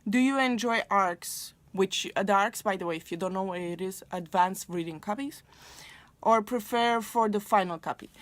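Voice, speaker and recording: A young Romanian-born woman talking about books straight to the camera, and English is not her first language. She rambles in a friendly way, full of fillers and restarts, at a middle pitch. The sound is dry, with almost no room in it. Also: no echo. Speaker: book vlogger. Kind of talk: casual talk to camera.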